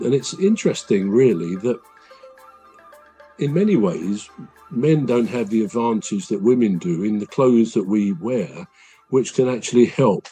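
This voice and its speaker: A man with a naturally deep voice hosts a relaxed podcast chat. His tone is low and mellow, and he explains things patiently with frequent ums and uhs. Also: rough recording. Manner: measured, explains at length with many um and uh. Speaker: podcast host.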